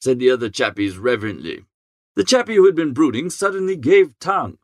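A man reading a comic story in the first person, chatty and wry, in the manner of an idle gentleman. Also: mid-range voice, very animated, brisk, no echo, clean studio sound. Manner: chatty, wry upper-class idler's phrasing. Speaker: audiobook narrator.

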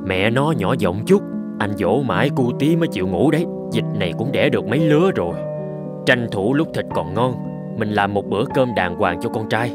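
An actor playing a poor, gentle peasant father, polite and earnest, in an animated folk tale. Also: on the low side, a little fast, over loud music, natural voice. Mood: worried, tender.

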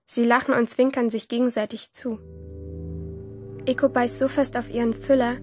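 A troubled teenage schoolgirl acted by a woman narrates her inner life in a German-style radio play manner, introspective and intense. Her voice sits fairly high. Light music runs beneath her short, clipped phrases. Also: flat delivery, normal speed, close to an everyday voice. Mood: tense, observant. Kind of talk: first-person radio drama monologue. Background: music under the voice.

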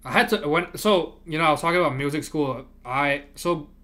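A man's voice: on the high side, fairly quick, bright, forceful tone. A man who grew up speaking Chinese, hosting a livestream and chatting casually, often repeating himself and laughing. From moved to America from abroad. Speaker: livestream host. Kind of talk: casual chat with chat readers.

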